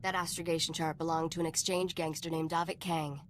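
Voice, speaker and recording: A woman's voice: low voice, little pitch movement, fairly quick, a little hiss or hum, natural voice. A woman voice-acting a cool, knowing smuggler informant in a video game, wry and composed as she tells stories.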